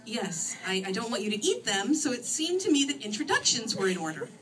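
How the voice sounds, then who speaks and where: A woman's voice: a little high, very animated, fairly quick, fairly bright tone, a little hiss or hum. A woman voicing a wry, exasperated young heroine of an urban fantasy novel, with emphatic delivery. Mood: patient, firm. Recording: distant microphone in a room.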